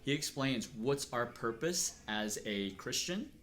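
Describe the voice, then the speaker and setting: A middle-aged man from Texas, speaking earnestly and at a measured pace as he is interviewed about his life and work. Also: medium pitch, animated, fairly bright tone. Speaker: interviewee, acupuncturist. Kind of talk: reflective personal interview.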